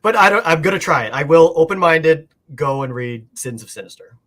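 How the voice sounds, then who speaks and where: A man's voice: fairly high pitch, lively intonation, fairly quick, a bit bright. A man chatting casually as a guest collector, explaining things informally and thinking aloud. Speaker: guest comic art collector.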